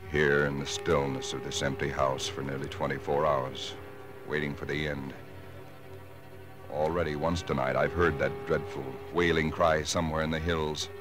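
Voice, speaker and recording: Very deep, haunted husband, old radio. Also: male voice. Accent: American. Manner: halting, brooding, intense. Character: haunted, dread-filled husband recounting nameless horrors. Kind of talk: 1940s radio drama acting, first-person narration. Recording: 1940s radio broadcast with loud music.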